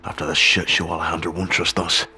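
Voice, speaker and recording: An actor playing an irreverent special forces sergeant in a video game, with a Scottish accent and a blunt, sardonic manner. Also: male voice, low-pitched, little pitch movement, fast talker, pushed and bright, faint music behind. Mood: wary. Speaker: soldier character.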